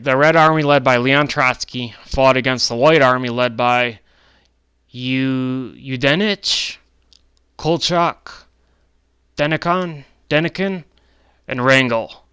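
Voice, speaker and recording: A man's voice: mid-range voice, sharp tone, clear, resonant tone, no room sound. A man in his twenties giving a rambling, casual lecture over slides on his own channel, often breaking off into digressions and correcting himself. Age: 20s. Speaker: channel host.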